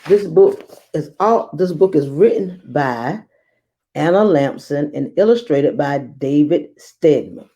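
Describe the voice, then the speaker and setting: A woman hosting a children's read-aloud as a teacher, speaking in a measured, expressive storytelling manner. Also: sits low.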